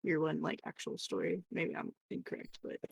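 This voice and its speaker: A woman's voice: low voice, big pitch swings, brisk, vocal fry, dry recording. A woman chatting casually as co-host of a livestream.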